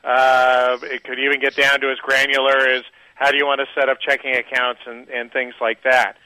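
A man answers a host's questions in a measured, halting way, with frequent fillers as he gathers his thoughts. His tone is bright and forward, and the sound is close and dry. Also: mid-range voice, monotone, no echo, poor audio. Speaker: guest portfolio manager. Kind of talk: radio interview answers.

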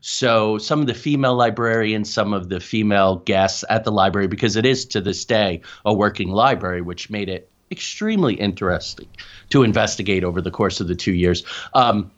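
A man's voice: fairly low pitch, fairly quick, lo-fi sound. A man speaking as an interview guest, explaining and telling stories in a conversational way. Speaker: interview guest, paranormal researcher.

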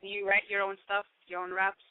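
A woman's voice: medium pitch, completely flat delivery, brisk, smooth. A woman hosting a show, chatting casually as she interviews a guest over thin, phone-like audio.

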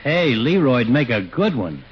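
An actor playing a husky, folksy, chatty small-town barber in a 1940s radio comedy. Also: male voice, mid-range voice, sing-song, a little slow, full character voice. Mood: curious. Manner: folksy, ungrammatical, casual. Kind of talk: 1940s radio sitcom acting. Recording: dull 1940s radio recording.

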